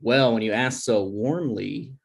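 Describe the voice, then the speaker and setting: A man's voice: fairly low pitch, measured pace, gravelly. A man playing a sensitive, jokey twenty-six-year-old boyfriend in a screenplay table read, casual and self-deprecating. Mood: playful, touchy.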